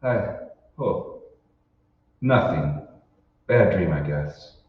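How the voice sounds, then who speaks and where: A man's voice: sits low, lively intonation, very slow, full character voice. An actor playing a bewildered, irritable married office man in an old-time sci-fi radio drama, speaking hesitantly and in exclamations. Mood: shaken, confused. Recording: roomy, muffled, distant stage recording with noise.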